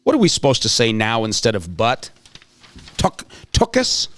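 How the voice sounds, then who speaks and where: Man playing a flustered, greedy principal, lively delivery. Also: medium pitch, voice pushed down. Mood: squeamish, exasperated. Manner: halting, exclamatory. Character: flustered, greedy, long-winded male school principal. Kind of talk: amateur table read of a comic play.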